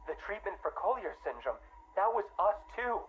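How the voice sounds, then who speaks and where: Jittery scientist on tinny recording. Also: male voice, high voice, very animated, moderate pace. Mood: uneasy, regretful. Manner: nervous, stammering, apologetic, rambling. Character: jittery, guilt-ridden, tipsy male scientist, middle-aged. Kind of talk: confessional audio log for a video game. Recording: phone-like tinny audio log, faint hiss.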